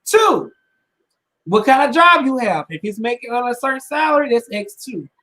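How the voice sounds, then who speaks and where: A woman's voice: a little high, very animated, normal speed, pushed and bright. A woman in her twenties, a podcast co-host, giving blunt, emphatic opinions in slangy casual chat. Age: 20s.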